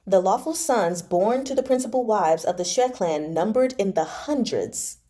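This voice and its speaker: Female read-along host, relaxed dark-toned voice, casual and expressive delivery for novel reading and commentary. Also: mid-range voice, normal speed. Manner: informal, slangy, expressive commentary. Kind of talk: reading a novel aloud with casual reaction asides.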